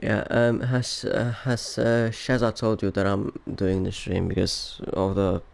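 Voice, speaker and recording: A man's voice: on the low side, monotone, a little slow, gravelly. A young man with a Polish accent mixed with Finnish, chatting casually and rambling on a voice call before commentating a race, tired from no sleep. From Poland. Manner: rambling, laughs often, trails off quietly. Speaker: racing league commentator. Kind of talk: casual chat.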